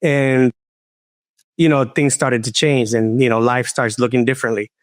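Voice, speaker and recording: A man in his forties from Puerto Rico, telling his story as a podcast guest in a halting way, with many restarts. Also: medium pitch, fairly even intonation, dry recording, studio quality. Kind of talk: personal testimony interview.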